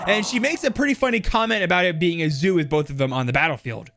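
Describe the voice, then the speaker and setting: A man's voice: high-pitched, quick pace, a bit bright, a little hiss or hum. A man giving chatty, casual game lore commentary, speculating and addressing the viewers.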